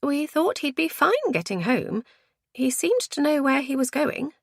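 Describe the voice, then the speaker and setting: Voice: sits high, very animated, a little slow, creaky, no room sound, clean studio sound, voice pushed up, exaggerated voice. A woman playing an anxious, earnest, easily indignant woman in an audiobook, speaking emphatically. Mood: worried.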